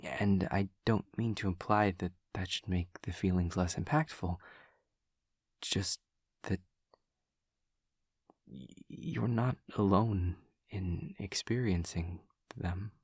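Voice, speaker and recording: A man voicing an awkward, earnest demon classmate in an audio roleplay, speaking in halting, formal, overly literal phrases with a husky voice. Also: deep voice, fairly even intonation, a little mellow, dry recording, studio quality, heavily acted. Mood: gentle, halting reassurance. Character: awkward, earnest male demon classmate who senses emotions. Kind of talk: scripted audio roleplay to the listener.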